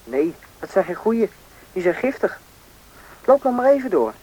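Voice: medium pitch, very animated, moderate pace, warm tone. A performer playing a bossy, knowing country boy, confident and impatient, in a radio drama. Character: bossy, knowing boy who grew up in the taiga. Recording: noisy old radio play recording.

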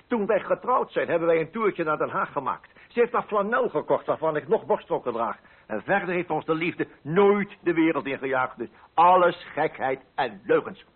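A pompous, middle-aged Dutch coffee broker in a radio drama, with a Netherlands accent. His fussy, emphatic delivery rises and falls in very animated pitch. The voice is somewhat rough, on a muffled, band-limited recording. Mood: indignant, emphatic. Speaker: narrating lead character.

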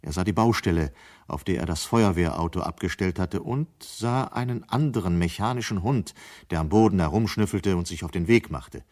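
A man's voice: deep voice, moderate pace, a little mellow. A man narrating a scripted radio play in German as its storyteller.

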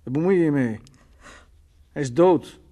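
A man's voice: a little high, moderate pace, mellow, close to an everyday voice. An actor playing a bitter, brooding drunk man in a Dutch radio play, sardonic, morose and terse in manner. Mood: angry. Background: faint music.

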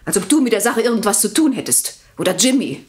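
An actress in a radio play playing a haughty, unfaithful upper-class wife with an emotional, demanding manner. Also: female voice, medium pitch, big pitch swings, quick pace, a little mellow, a little hiss or hum, lightly acted. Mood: indignant.

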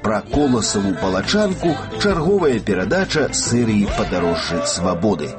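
Guy narrating a radio travel feature, lively pitch, music under him. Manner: storytelling, unhurried. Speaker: radio correspondent.

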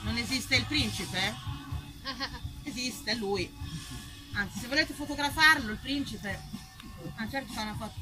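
A woman actress, performing an ironic, lively storytelling monologue and talking directly to her audience. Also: fairly high pitch, moderate pace, pauses often, over music, rough recording.

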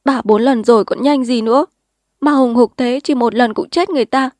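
Woman doing a tearful young village woman, high pleading voice, emotional, dry close storybook reading. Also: a little fast, darkish tone, dry recording, natural voice.

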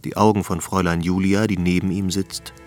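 A man's voice: deep voice, flat delivery, fairly quick, soft background music, lightly acted. A man narrating a radio play from a script, setting the scene.

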